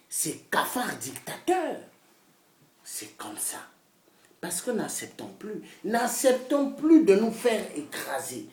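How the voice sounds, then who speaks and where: Fiery woman ranting in an echoey room. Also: lowish voice. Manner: fiery and repetitive, swinging from loud outbursts to hushed whispers. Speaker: political activist. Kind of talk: impassioned political rant to camera. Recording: roomy echo, voice far from the mic.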